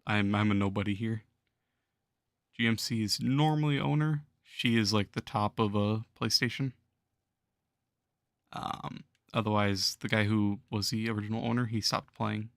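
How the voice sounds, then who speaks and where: A hoarse young man losing his voice, chatting casually with viewers on a gaming livestream and chuckling often. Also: lowish voice, normal speed, dry recording, studio quality. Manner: laid-back, chuckles while talking to chat.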